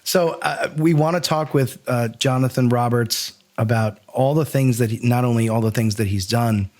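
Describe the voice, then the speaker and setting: A man's voice: mid-range voice, moderate pace, a little mellow. A man hosting a podcast in casual, conversational chat, given to joking asides. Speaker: podcast host.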